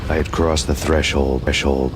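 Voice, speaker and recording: An actor playing a world-weary, vengeful cop narrating a hardboiled noir game, deadpan and wry. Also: male voice, a bass voice, moderate pace. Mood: brooding.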